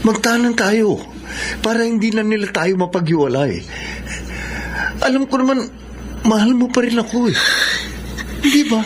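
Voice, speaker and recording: A man's voice: high-pitched, expressive, normal speed, pauses often, slight background noise. An actor playing a young, repentant womanizer pleading with his former sweetheart in a coaxing, earnest way, in a Filipino radio drama. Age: young adult.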